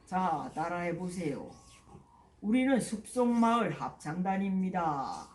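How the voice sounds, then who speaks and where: A woman's voice: fairly low pitch, big pitch swings, slow, darkish tone, distant mic, slight background noise. Woman as a patient goat teacher in a roomy space. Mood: patient, instructive. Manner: instructive, addressing children with questions. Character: patient goat schoolteacher explaining to young animals.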